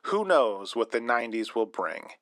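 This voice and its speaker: A man's voice: medium pitch, sing-song, moderate pace, a bit bright, dry recording. Man acting as a dry-sounding spooky-story radio host. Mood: storytelling. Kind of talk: scripted storytelling narration.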